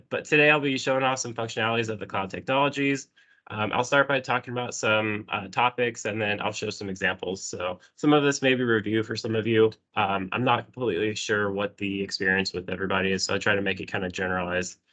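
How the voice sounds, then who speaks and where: A man with a cold giving a remote technical presentation over a call, sounding congested, often clearing his throat and saying um. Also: fairly low pitch, fairly even intonation, fast talker, pushed and bright. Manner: frequent throat clearing and ums. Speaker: presenter at a user group.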